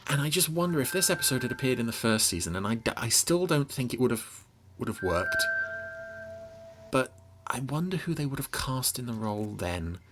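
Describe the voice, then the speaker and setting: A man's voice: medium pitch, lively intonation, a little fast, background noise. A man hosting a podcast, giving casual, sarcastic running commentary on a TV episode. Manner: wry, sarcastic asides. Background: soundtrack of the TV episode being watched.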